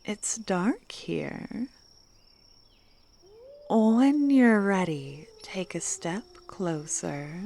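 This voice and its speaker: Woman doing a teasing fortune teller lady, slow soft whispers, lowish mellow voice, real flirty. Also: gravelly, exaggerated voice. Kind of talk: ASMR roleplay.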